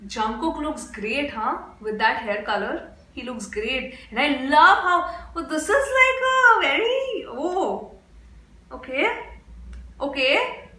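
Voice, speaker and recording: A woman's voice: high-pitched, very animated, takes it slowly, pushed and bright, slight background noise. A young woman with an Indian English accent hosting a casual music reaction vlog, gushing and exclamatory, chatting with fillers. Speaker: reaction video host. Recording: echoey room with faint background music.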